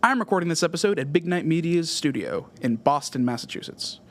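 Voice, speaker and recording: A man's voice: on the high side, animated, a little fast. A man with a Californian American accent reads scripted narration as a music podcast host, with emphatic phrasing. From California, USA. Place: podcast studio.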